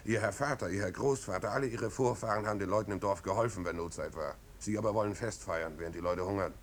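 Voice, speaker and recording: A man's voice: fast, natural voice. An actor playing a humble, plain-spoken village man, earnest, firm and deferential, in a 1950s German radio drama. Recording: old radio recording, rumbling background noise.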